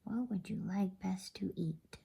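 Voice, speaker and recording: A woman's voice: medium pitch, unhurried, creaky, dark tone, slightly rough, heavily acted. A woman voicing a coaxing, imperious, inquisitive witch queen in a whispered bedtime storybook reading.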